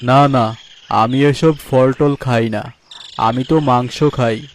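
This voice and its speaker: Man playing a slow, lazy cartoon tiger. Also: medium pitch, steady tone, a little hiss or hum, natural voice. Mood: dismissive. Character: lazy male tiger, meat-loving forest hunter. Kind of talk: cartoon voice acting.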